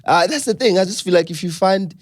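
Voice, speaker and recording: A man with a South African accent co-hosting a podcast, talking loudly and animatedly, swearing freely in casual chat. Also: sits high, expressive, quick pace, no room sound, studio quality. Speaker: podcast co-host.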